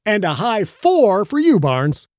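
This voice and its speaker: A man's voice: high-pitched, wildly swooping pitch, takes it slowly, darkish tone, no room sound, studio quality, pitched above the performer's own voice, heavily acted. A man putting on a squealing, gleeful sports fan. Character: exuberant man, high excited voice.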